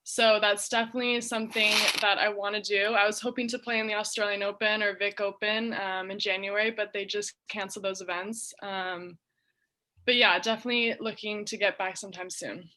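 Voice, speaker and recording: A young woman with an American accent answers questions over a video call. Her voice is bright and forward. She chats along loosely, padding her sentences with fillers. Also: medium pitch, steady tone, brisk. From Southern California. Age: young adult. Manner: chatty, fillers like "um" and "kind of". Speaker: university golfer, guest. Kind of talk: casual interview answers.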